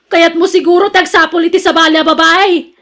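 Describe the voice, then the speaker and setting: An actress as a nagging young wife with a very high-pitched voice. She is nosy, suspicious and full of complaints, in radio drama style. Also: flat delivery, fairly quick, a bit bright. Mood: jealous, sulky. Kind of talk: Ilocano radio drama acting.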